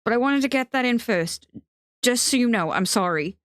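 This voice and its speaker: A woman voicing a frightened, remorseful young man in love, pleading in a wavering, halting way in an audiobook. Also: fairly low pitch, a little mellow, no room sound, clean studio sound, close to an everyday voice. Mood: apologetic.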